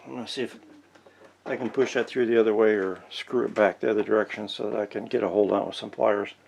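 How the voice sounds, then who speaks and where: A man with a low voice and a gravelly creak talks through hands-on repair work in a casual, folksy way. He thinks aloud as he goes, unscripted, with faint noise behind him. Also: fairly even intonation, quick pace, a little mellow. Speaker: host of a vintage radio repair channel. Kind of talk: casual unscripted hands-on narration while working. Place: indoor workshop.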